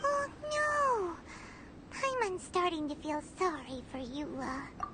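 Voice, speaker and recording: A woman voicing a tiny, chatty, excitable childlike fairy companion in a video game, with a squeaky voice. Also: slow, noisy background, heavily acted. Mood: sympathetic. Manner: refers to self in third person, exclaiming. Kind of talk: English video game voice acting.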